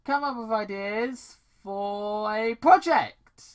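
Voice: mid-range voice, slow pace, smooth, pitched above the performer's own voice, close to an everyday voice. A reader voicing a nervous, self-doubting young daughter in a fan story, hesitant and unsure.

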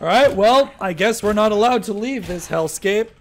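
Excitable, high-pitched young male gamer. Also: normal speed, slight background noise. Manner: excitable, foul-mouthed. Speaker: gamer streaming with friends. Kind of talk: casual game banter.

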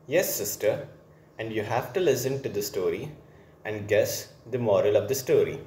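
A man narrating a scripted moral story in a simple, deliberate, instructive manner. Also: on the low side, animated, darkish tone, slight background noise. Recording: roomy, echoing voice recording.

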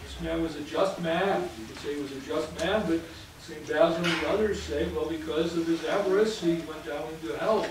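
A man in his fifties, a priest giving a sermon in a halting, hesitant manner with repeated phrases. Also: a little high. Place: indoors at a church pulpit or lectern, reverberant. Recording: distant mic in echoey room, clear background noise.

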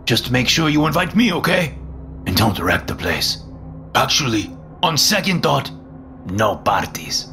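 A man's voice: medium pitch, very animated, a little slow, bright, forceful tone, a little hiss or hum, voice pushed up, heavily acted. A man voicing an eccentric, over-friendly landlord with a foreign accent and broken phrasing, in a told story, with music behind. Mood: chummy, pushy. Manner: jovial, intrusive, broken phrasing. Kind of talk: storytelling character voice.

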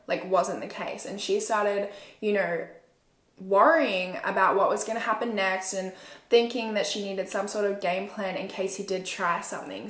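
A woman's voice: mid-range voice, a bit bright. A woman, telling a true crime story conversationally in a furnished room. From Australia. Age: 20s. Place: small furnished room indoors. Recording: roomy indoor echo.

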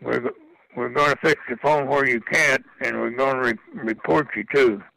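A man's voice: mid-range voice, fairly even intonation, normal speed, vocal fry, pushed and bright, poor audio. An older man speaking on the telephone in a curt, plain-spoken way. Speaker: prank call recipient. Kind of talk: phone conversation.

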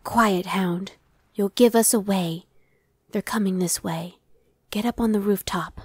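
A woman's voice: medium pitch, a little slow, husky, no room sound, studio quality. A woman voicing an impatient, sardonic female demon scout in an ASMR roleplay, talking in a conversational, dismissive way. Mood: tense, annoyed. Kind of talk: ASMR roleplay, one-sided dialogue.

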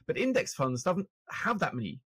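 A man's voice: fairly high pitch, very animated, normal speed, a bit bright, husky, no echo. A man in his forties from Norway who speaks with a British accent, chatting as a guest on a video-call podcast, self-deprecating and full of restarts. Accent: British English. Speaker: guest author and finance journalist. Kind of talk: conversational interview. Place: home room with shelves, indoors. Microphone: podcast microphone on stand, video call.

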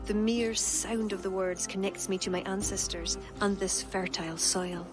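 An actress with an Irish accent playing a devout, steadfast young pagan court poet in a dramatic game cutscene. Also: female voice, mid-range voice, fairly even intonation, normal speed, close to an everyday voice. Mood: solemn conviction. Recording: loud background music under dialogue.

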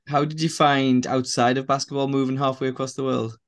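A man's voice: mid-range voice, little pitch movement, a little fast. A man hosting an interview podcast over a video call, asking questions with frequent restarts. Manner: stumbling restarts, asks questions. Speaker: podcast host. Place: small room indoors.

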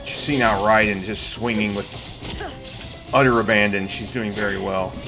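A man's voice: fairly low pitch, fairly even intonation, moderate pace, low-quality recording. A middle-aged man giving casual live commentary as he plays a game. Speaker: game streamer. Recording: game music behind the voice. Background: game music.

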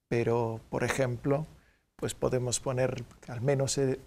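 A middle-aged man, giving measured, didactic and somewhat hesitant explanations as an interview guest in a studio. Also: medium pitch, darkish tone. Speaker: guest, priest and Bible professor. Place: dark TV studio at a table. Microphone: studio microphone on a stand.

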